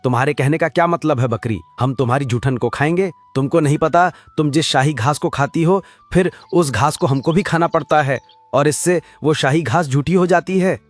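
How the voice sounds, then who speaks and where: A man's voice: fairly high pitch, normal speed, no room sound, soft background music, close to an everyday voice. A man voicing an arrogant donkey who rules the jungle, speaking in a commanding royal manner in a Hindi cartoon. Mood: haughty.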